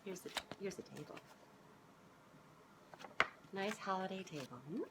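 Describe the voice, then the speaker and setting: A woman's voice: mid-range voice, measured pace, heavy background noise. A woman in her fifties reading a children's storybook aloud, expressively and informally, with chatty asides to young listeners. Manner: expressive, informal, often breaking off to comment. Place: living room sofa, indoors. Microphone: camera a short distance away.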